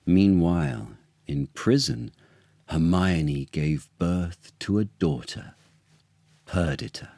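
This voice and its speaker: Deep, slow male storyteller. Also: creaky, dry recording, studio quality. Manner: deliberate, theatrical, expressive. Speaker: actor reading children's story. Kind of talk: dramatic storybook narration.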